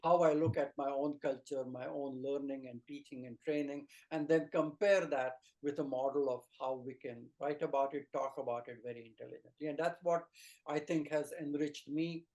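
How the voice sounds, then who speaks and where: A middle-aged man with an Indian accent and a mid-pitched voice speaks as a doctor and teacher being interviewed. He is earnest, laying out his points in short phrases and lists. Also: fairly even intonation, normal speed, darkish tone, rough recording. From rural India. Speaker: Ayurvedic doctor and teacher, guest. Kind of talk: explanatory interview talk.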